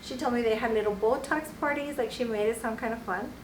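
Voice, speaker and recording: A woman's voice: on the high side, quick pace. A woman in her forties, a nurse and podcast guest, telling her story casually with many fillers and acted-out quotes. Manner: storytelling, acting out quoted voices, many likes. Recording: echoey room, mic at a distance, faint noise.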